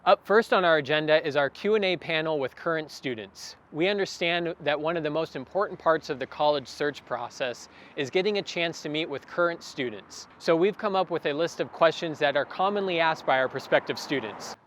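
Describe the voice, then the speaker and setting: A man's voice: on the high side, fairly quick, a bit bright, a little hiss or hum. A man hosting a virtual event, reading a welcoming scripted introduction. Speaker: event host. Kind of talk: scripted announcement.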